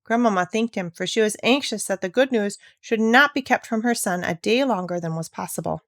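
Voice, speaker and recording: A woman reads a children's storybook aloud. Her voice sits at a medium pitch, with careful narrative phrasing. The recording is dry, with little room sound. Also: brisk, no room sound. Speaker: narrator, reader. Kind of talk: scripted storybook read-aloud.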